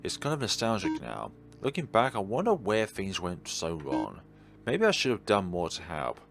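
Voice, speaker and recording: A man's voice: sits low, huge pitch swings, moderate pace, vocal fry, soft background music, heavily acted. A man reading visual novel dialogue as a melancholy, world-weary God, in a wistful, dramatic way. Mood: nostalgic, regretful.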